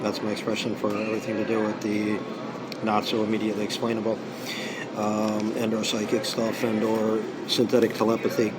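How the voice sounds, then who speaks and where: A hoarse man vlogging in a casual, rambling way with many ums and uhs, recording on a small tripod camera inside a busy coffee shop. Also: lowish voice, monotone, a little fast. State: hoarse from singing practice. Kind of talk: casual unboxing vlog. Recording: noisy coffee shop with music playing. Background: background music and cafe noise.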